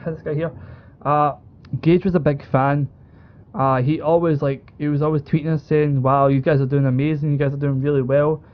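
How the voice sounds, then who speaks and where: Higher-pitched young British man, muffled call. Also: level tone, moderate pace. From United Kingdom. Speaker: esports organisation co-owner. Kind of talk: casual storytelling chat. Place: online group call. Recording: dull lo-fi voice call.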